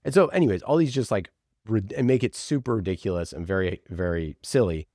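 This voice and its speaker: Low-voiced man, casual storyteller. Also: brisk, warm tone, dry recording, clean studio sound. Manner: informal, enthusiastic, peppered with "like". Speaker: podcast producer being interviewed.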